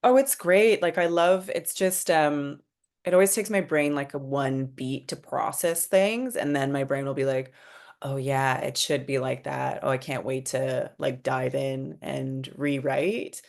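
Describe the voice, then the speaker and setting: A woman with a very deep voice and plenty of vocal fry speaks as a writer. She is informal and keen, reflecting casually while her melody stays narrow. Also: steady tone, a little slow, darkish tone.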